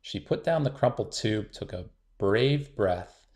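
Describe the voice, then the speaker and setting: Gentle low-voiced man reading a storybook. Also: animated, unhurried. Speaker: storybook reader. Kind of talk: reading a children's picture book aloud.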